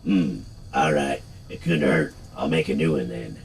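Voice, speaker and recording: A man voicing a grim, amnesiac immortal man in a very deep, dark, gravelly voice, reading game dialogue aloud. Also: a little hiss or hum, heavily acted. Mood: grim, puzzled. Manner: slow, measured, gravelly.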